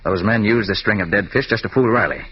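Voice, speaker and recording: An actor playing a shrewd private detective in a 1940s-style radio drama, brisk, clipped and commanding. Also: male voice, on the low side, slightly rough. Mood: hushed, wary. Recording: muffled, noisy old radio recording.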